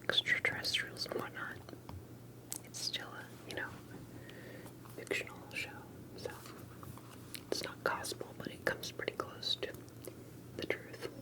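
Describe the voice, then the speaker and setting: A woman performing a whispered ASMR roleplay as a secretive, cautious investigator, speaking in a hushed and hesitant way with soft pauses. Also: rough recording. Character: secretive, cautious investigator interviewing an alien abductee.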